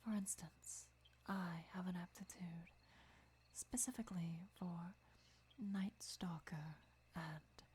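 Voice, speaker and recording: A woman playing a gentle, devoted vampire lover who teaches patiently in a breathy, measured whisper for an ASMR roleplay. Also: lowish voice, fairly even intonation, a little hiss or hum. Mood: calm, instructive. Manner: measured, deliberate, soft-spoken explaining.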